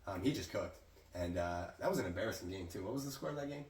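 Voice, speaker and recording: A man hosting a casual sports chat, raspy and hoarse from a cold, chatty and prone to restarts. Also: low-pitched, expressive, fast, roomy sound, distant mic. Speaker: host.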